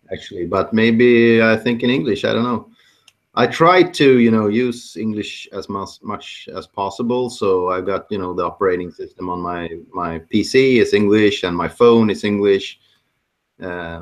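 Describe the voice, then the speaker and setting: Swedish-accented man, low voice, relaxed guest chat, hesitant phrasing. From Sweden. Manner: searches for words, hesitant. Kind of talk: casual chat.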